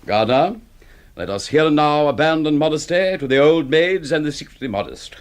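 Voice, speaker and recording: Actor as a pompous literary windbag, wildly swooping. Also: male voice, on the high side, animated, normal speed, close to an everyday voice. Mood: boastful. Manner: pompous, florid, declamatory. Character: bombastic, hard-drinking middle-aged literary critic, grandiloquent and scornful. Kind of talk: radio drama acting.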